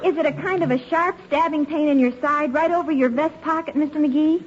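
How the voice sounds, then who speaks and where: Earnest, scatterbrained young lady played by a woman, very high guileless voice, vintage radio comedy style, hissy recording. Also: fairly quick, lo-fi sound, close to an everyday voice. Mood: guileless, helpful. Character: scatterbrained, naive, chirpy young lady lodger. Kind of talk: 1940s radio comedy acting.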